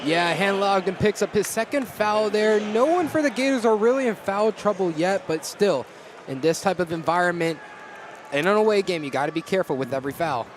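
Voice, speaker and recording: A man's voice: sits high, lively intonation, a little fast, slight background noise. A young man giving analytical basketball color commentary on the radio. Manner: analytical, starts answers with "yeah". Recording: radio call with loud arena crowd.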